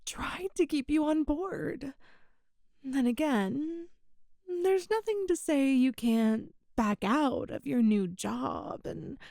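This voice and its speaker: A woman's voice: high voice, creaky, no echo, studio quality, heavily acted. A woman playing a possessive, sweet-talking female boss in an intimate ASMR roleplay, with a coaxing, hesitant manner. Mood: pleading, coaxing. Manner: coaxing, hesitant, with soft chuckles. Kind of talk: ASMR roleplay, intimate one-sided dialogue.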